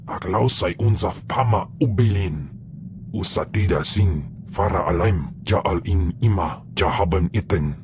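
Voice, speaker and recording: An actor voicing an ominous, hungry alien creature in a video game, solemn, with guttural alien speech. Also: male voice, deep voice, unhurried, noisy background. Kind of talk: video game character acting.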